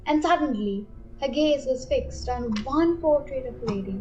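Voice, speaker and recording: A woman's voice: high-pitched, animated, normal speed, muffled recording, over music. A woman giving scripted storytelling narration for a student radio play.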